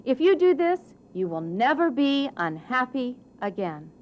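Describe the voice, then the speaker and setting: A woman as a clever, lively storybook cat, high-voiced. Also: a little slow, highs cut off, a little hiss or hum. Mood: cheerful, confident. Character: clever cheerful talking male cat, polite and sly. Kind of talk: storybook character reading.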